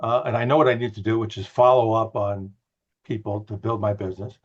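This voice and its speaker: A man's voice: lowish voice, steady tone, a little fast. Man on dull lo-fi call audio. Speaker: team call participant. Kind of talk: casual personal testimony.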